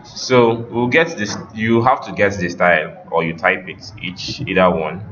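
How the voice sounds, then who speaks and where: Male tech instructor, very low unhurried voice, walking learners through steps; muffled recording with clear background noise. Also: fairly bright tone. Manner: instructional, directing students, checking on them. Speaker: web development instructor. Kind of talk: step-by-step live coding lesson.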